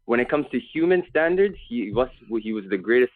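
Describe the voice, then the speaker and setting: A man's voice: mid-range voice, lively intonation, fairly quick. A young man calling in to a debate, hesitant and defensive, stumbling over restarts as he argues. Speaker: caller. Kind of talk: defensive call-in argument. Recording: tinny phone-like call line.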